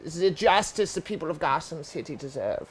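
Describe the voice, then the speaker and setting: A man's voice: on the high side, animated, normal speed, husky. Man acting a pompous glad-handing mayor. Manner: pompous, speechifying. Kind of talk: amateur script read over voice chat.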